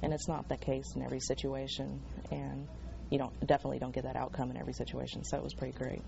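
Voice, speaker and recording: An American woman dispatcher speaks in a low, even voice with plenty of vocal fry. The sound is dull, with clear noise around her. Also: quick pace. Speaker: emergency medical dispatcher. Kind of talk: interview talk and calm phone instructions.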